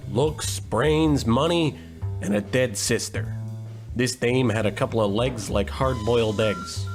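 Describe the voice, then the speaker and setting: A man playing a cocky, dim-witted hard-boiled private detective in a noir radio comedy, deadpan and self-assured. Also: mid-range voice, measured pace, over music, lightly acted. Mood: deadpan, brooding.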